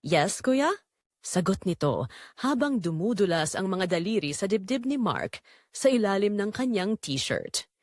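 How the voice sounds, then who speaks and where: A woman delivers dramatic story narration with a suspenseful build. Her pitch moves widely and expressively, and the sound is dry with very little room in it. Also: expressive, a little slow, no echo.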